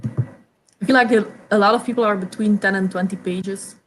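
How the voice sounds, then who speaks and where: A young woman from Belgium whose first language is Dutch, hosting a casual reading chat over a video call, chatty and quick to stumble over her first words. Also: mid-range voice, fairly even intonation, slight background noise, rough recording. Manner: chatty, repeats words when starting sentences, laughs often. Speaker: host of reading sprints. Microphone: video call mic.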